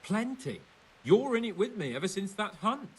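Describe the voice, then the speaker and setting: A man's voice: high voice, sing-song, normal speed, husky, distant mic, exaggerated voice. An actor playing a cocky, vain young nobleman in a medieval video game, teasing and condescending. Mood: wry, resigned. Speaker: young lord.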